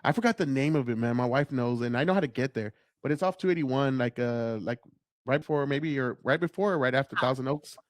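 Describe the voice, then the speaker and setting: A man's voice: medium pitch, a little fast, no echo, clean studio sound. A man, a podcast guest talking loosely and profanely, full of dude and bro. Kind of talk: casual podcast chat.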